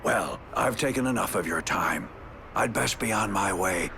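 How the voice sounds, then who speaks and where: A man's voice: fairly low pitch, moderate pace, background noise. A man playing a kindly, courteous old traveler with a folksy, genial manner in a video game scene. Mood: genial, teasing. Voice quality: creaky elderly voice. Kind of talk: dramatic video game cutscene acting.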